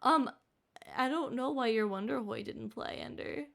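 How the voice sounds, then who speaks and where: A woman's voice: fairly high pitch, normal speed, vocal fry, fairly bright tone. A woman streamer chatting casually to her live audience in a bubbly, giggly way. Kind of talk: casual livestream chat.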